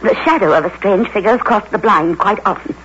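A woman's voice: mid-range voice, quick pace, a bit bright, lightly acted. A woman acting an anxious, haunted wife in an old radio drama, hesitant and plaintive in her delivery. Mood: uneasy. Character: anxious, haunted wife, evasive then quietly accusing. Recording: dull old radio recording, faint noise.

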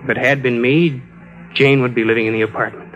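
A man's voice: mid-range voice, steady tone, fairly quick, soft background music, natural voice. An actor playing a bereaved, desperate man, earnest and insistent, in mid-century radio drama style. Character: bereaved, desperate man insisting his love still lives. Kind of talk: 1940s-50s radio drama acting. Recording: dull old radio recording.

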